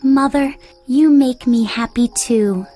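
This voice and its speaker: A woman's voice: high-pitched, takes it slowly, warm tone, faint music behind, voice pushed up. A woman voicing a tiny, sweet, eager and loving little girl in a children's storybook.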